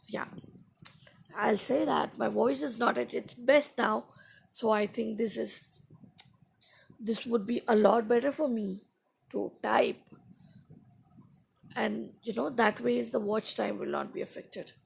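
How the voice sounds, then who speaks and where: A woman English teacher chatting casually with viewers on a live stream, halting and stopping to rephrase her own sentences, her voice not at its best today. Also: a little high, vocal fry, a little hiss or hum.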